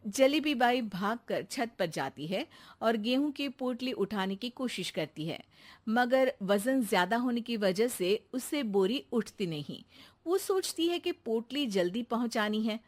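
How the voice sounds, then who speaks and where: A woman's voice: a little high, expressive, measured pace. A woman narrating a cartoon story as a scripted storyteller.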